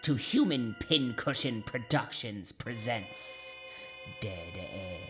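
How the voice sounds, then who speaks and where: Male actor as sinister horror anthology host. Slow, theatrical narration over prominent guitar music. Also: on the low side, lively intonation. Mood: ominous. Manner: theatrical, deliberate. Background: plucked guitar music.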